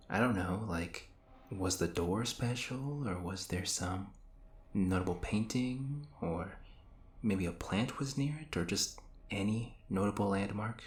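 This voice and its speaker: A man's voice: lowish voice, roomy sound. A man playing an exasperated, sarcastic young hero who banters and teases his frenemy in an audio roleplay. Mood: puzzled, searching. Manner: ranting, sarcastic, teasing. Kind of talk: scripted audio roleplay acting addressed to the listener.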